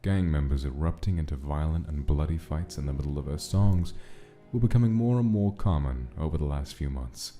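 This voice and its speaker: A man's voice: a bass voice, brisk, hardly any pauses, dark tone, soft background music. A man reading scripted narration of a gritty gangster-era story in a comic dub. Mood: brooding, measured.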